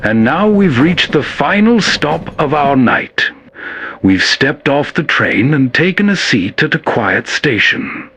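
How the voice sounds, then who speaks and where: A man's voice: medium pitch, fairly bright tone, breathy edge. A man hosting a scripted program of bedtime stories, narrating between old shows. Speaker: host.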